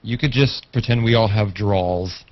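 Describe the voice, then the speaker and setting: A man's voice: on the low side, normal speed. A man with an American accent joking casually in a group video call. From California, United States. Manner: joking, bantering. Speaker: hangout guest. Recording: dull, lo-fi video-call audio.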